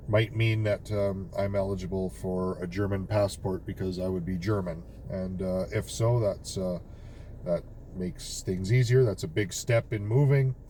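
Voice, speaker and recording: A Canadian man vlogging outdoors in a halting, casual way, full of fillers and restarts. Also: sits low, recorded from a distance. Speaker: vlog host. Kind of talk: casual vlog talk, outdoors. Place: outdoors near a road with cars. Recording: outdoor street recording with loud traffic noise. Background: loud traffic and street noise.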